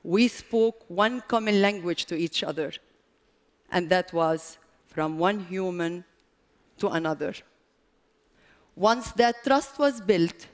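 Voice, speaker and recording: A middle-aged woman with a Lebanese accent delivers a prepared speech on stage in a large hall. She speaks slowly and deliberately, setting short phrases apart with pauses for emphasis. Long reverberation trails her voice. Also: mid-range voice. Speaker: public speaker, marathon organizer.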